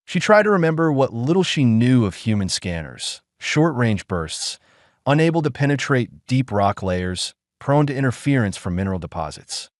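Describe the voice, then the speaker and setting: A man narrating a sci-fi audiobook in a dramatic, measured storytelling manner. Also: on the low side, dry recording, clean studio sound. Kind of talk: scripted narration.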